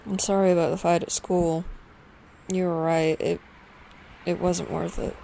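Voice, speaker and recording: Casting: uneasy teenage daughter, sullen and subdued, noticeable vocal fry, unhurried, audio drama with clear background noise. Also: female voice, fairly low pitch, flat delivery, dark tone, rough recording.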